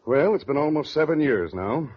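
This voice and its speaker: An actor playing a patient, persistent family lawyer who reasons calmly in a 1940s radio drama. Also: male voice, fairly high pitch, moderate pace, slightly rough. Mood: patient, insistent. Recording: dull vintage radio recording.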